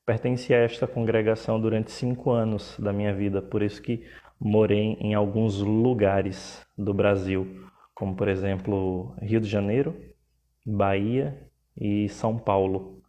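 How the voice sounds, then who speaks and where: Slow, soft-toned Pernambuco-accented priest. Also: male voice, lowish voice, steady tone. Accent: Pernambuco Portuguese. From Pernambuco, Brazil. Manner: slow, measured, with frequent hesitations. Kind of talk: livestream talk.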